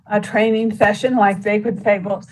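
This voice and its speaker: A woman's voice: medium pitch, little pitch movement, moderate pace, darkish tone, slight background noise, poor audio. Rambling woman commissioner at a meeting. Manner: rambling, heavy use of "you know, like". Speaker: county commissioner. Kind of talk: public meeting discussion. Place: meeting room.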